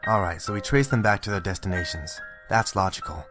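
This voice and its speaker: An actor playing a hot-tempered, frustrated police detective in an amateur radio drama. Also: male voice, deep voice, fairly quick, over music, lightly acted. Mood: weary, serious. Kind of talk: amateur audio drama acting.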